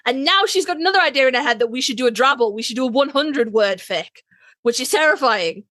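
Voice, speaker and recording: A woman's voice: high voice, expressive, fast talker, fairly bright tone, no room sound. A woman co-hosting a podcast in casual, slangy chat, swearing freely and telling stories in quoted exchanges. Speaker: podcast co-host.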